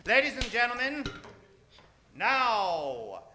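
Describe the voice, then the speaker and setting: A man's voice: extremely high pitch, big pitch swings, takes it slowly, pushed and bright, slight background noise. A man hosting and narrating in old-time radio style, a hammy, tongue-in-cheek showman. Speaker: radio show host and narrator. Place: stage before a studio audience.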